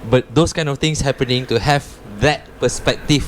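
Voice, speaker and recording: A man's voice: medium pitch, fairly even intonation, normal speed, a little hiss or hum. A man, talking in casual chat, easygoing and slipping Malay words into his English. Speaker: band member.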